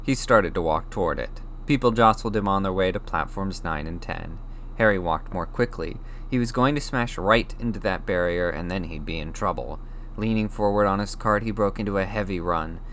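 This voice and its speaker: A man's voice: fairly low pitch, a bit bright, a little hiss or hum. A man reading a story aloud as scripted audiobook narration. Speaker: audiobook reader.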